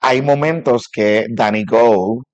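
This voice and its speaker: Conversational Puerto Rican male, thinks aloud haltingly, medium pitch, dull close-sounding audio. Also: darkish tone, no room sound. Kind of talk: casual conversation about parenting.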